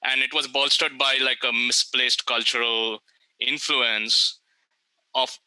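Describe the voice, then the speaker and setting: A man's voice: mid-range voice, steady tone, takes it slowly, bright, forceful tone, clean tone, no room sound, poor audio. A man answering as a panelist on a video call, reflective and hesitant.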